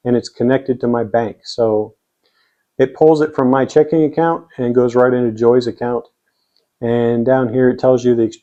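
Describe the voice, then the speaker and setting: A man's voice: mid-range voice, mellow, highs cut off. An American man explaining things step by step in a plainspoken, casual vlog style. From United States. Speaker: vlogger. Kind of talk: casual explainer to camera or screen.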